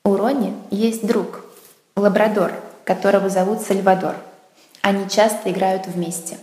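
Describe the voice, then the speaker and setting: A woman reading a short first-person story in a calm, plain way. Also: mid-range voice, normal speed. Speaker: exam listening text speaker. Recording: echoey, reverberant room.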